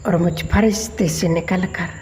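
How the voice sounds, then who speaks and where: A woman leading a guided meditation, speaking in measured, deliberate short phrases with long pauses between them. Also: lowish voice, steady tone, darkish tone, a little hiss or hum. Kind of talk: slow guided meditation commentary.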